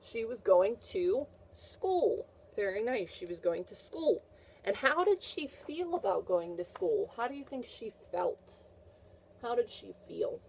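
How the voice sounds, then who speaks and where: Animated teacher, a woman reading to children. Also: fairly low pitch, vocal fry, slight background noise, low-quality recording. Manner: animated, repeats questions and answers in a teacherly way; encouraging, praising the listener. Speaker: teacher reading a picture book aloud to a class. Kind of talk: read-aloud for young children with comprehension questions.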